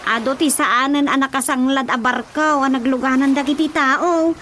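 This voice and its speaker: A woman's voice: high voice, steady tone, normal speed, bright, forceful tone, a little hiss or hum. A woman playing an anxious, tearful daughter in an emotional radio drama. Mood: worried. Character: anxious, tearful daughter Rosa awaiting missing kin. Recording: music behind the voice.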